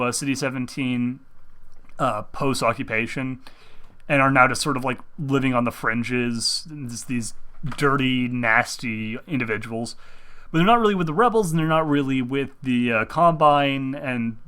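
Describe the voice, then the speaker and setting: A man's voice: mid-range voice, a bit bright. A young man who is sick, talking casually about video game movies, meandering with many fillers and restarts. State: sick, speaking through pain. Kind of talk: casual rambling gaming commentary.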